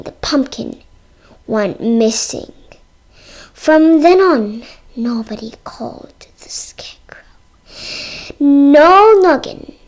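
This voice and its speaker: A female reader narrating a children's storybook aloud, haltingly, stumbling over words and sounding them out. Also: high voice.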